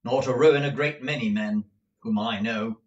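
A man's voice: medium pitch, moderate pace, roomy sound, recorded from a distance, lo-fi sound, natural voice. A man doing a curt, cold old moneylender. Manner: curt, dry, peremptory. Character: cold, domineering older male moneylender.

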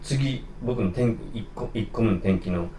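A man's voice: lowish voice, a little slow, warm tone. A man telling career stories as an interviewed actor, in casual, fragmented conversation. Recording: roomy, distant mic, faint noise.

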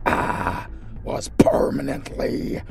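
A man voicing a bitter, imperious crippled vampire lord in a dark fantasy audio roleplay, in a menacing, rasping whisper. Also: takes it slowly, faint music behind, natural voice. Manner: menacing, theatrical.

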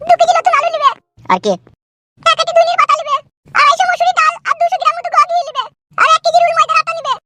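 A woman voicing a quarrelsome young village wife in a cartoon comedy, scolding and complaining, with a pitched-up voice. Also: steady tone, racing, over loud music. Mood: practical, softened. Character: nagging, tearful young village wife, affectionate but quarrelsome.

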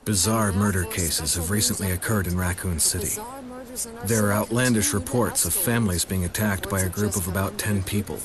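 An actor playing a grave special-police officer, narrating a grim report in a measured, somber way for a video game cutscene. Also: male voice, low-pitched, steady tone, a crowd in the background, close to an everyday voice. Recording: loud music and helicopter behind voice. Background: loud music, helicopter.

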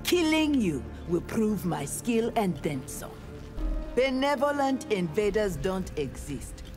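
A woman's voice: mid-range voice, sing-song, slow, music in the background. A woman with a Nigerian accent voicing a proud, righteous young ninja warrior in a fighting game, formal and defiant. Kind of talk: video game fight dialogue.

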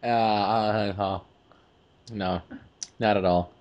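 A man's voice: on the low side, fairly even intonation, slow, a little mellow, highs cut off. A man co-hosting a casual discussion in a chatty, informal way. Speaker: podcast co-host. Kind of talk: casual film and game discussion.

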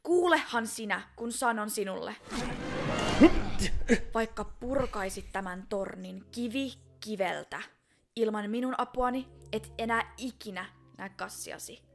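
A woman voicing a wary, determined young sheltered fairy-tale princess in an animated film fan dub, speaking emphatically and deliberately. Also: medium pitch, vocal fry, soft background music, lightly acted.

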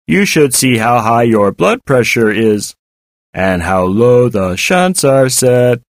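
A man voicing a malicious, taunting female robot in an audiobook, with a hard, artificial voice and drawn-out, mock-sweet delivery. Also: mid-range voice, very animated, no echo, studio quality. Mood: mock sincere. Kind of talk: audiobook character voice.

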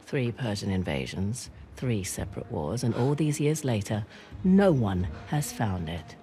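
A woman playing a brash, quick-witted treasure-hunting thief in a video game, with a sardonic, cocky delivery. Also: extremely low pitch, sing-song, mellow, faint music behind, full character voice. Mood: confident. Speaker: voice actor playing an adventurer. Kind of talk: cinematic video game acting.

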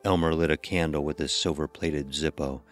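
A man reading scripted horror narration in a measured, ominous storytelling manner. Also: rumbling low, steady tone, faint music behind.

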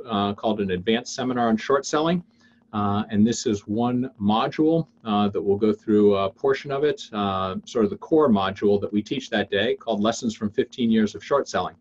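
Halting American man, slightly muffled webcam sound. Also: mid-range voice, a little mellow. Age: 50s. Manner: halting, frequent filled pauses and restarts. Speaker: webinar host and investing teacher. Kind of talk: informal webinar presentation. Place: indoors on a video call.

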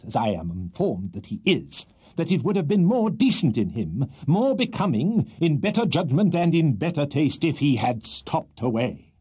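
A man's voice: fairly high pitch, darkish tone, slightly rough, voice pushed up, exaggerated voice. A man voicing a pompous, bombastic barrister haranguing a jury with theatrical indignation in an audiobook of a Victorian comic novel. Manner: theatrical oratory, indignant and swelling.

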